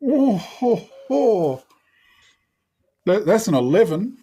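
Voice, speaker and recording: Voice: mid-range voice, very animated, slow, mellow, slightly rough. A player in a tabletop roleplaying game, chatting casually and arguing out their moves.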